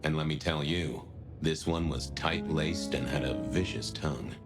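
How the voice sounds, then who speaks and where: An actor playing a world-weary noir detective in a video game, wry and colloquial, with a drawl. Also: male voice, a bass voice, steady tone, moderate pace, over loud music. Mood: wry narration.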